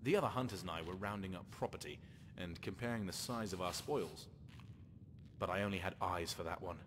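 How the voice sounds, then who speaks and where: Game rogue: low, animated, wry scheming slaver-hunter in a reverberant space. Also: male voice, a little fast, slight background noise. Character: sly male slaver-hunter rescuing his lover. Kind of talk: game character dialogue.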